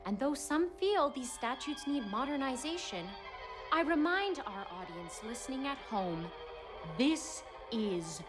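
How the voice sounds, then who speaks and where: A woman's voice: high-pitched, sing-song, measured pace, over music, poor audio. An actress playing an earnest, prim young 1920s policewoman who speaks formally. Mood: officious. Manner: earnest, flustered, formal wording.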